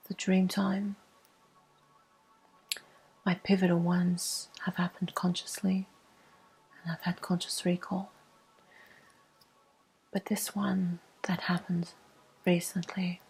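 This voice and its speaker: A woman telling a personal story as an ASMR host in a breathy, hushed, mostly whispered voice with slow pauses. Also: fairly low pitch, poor audio.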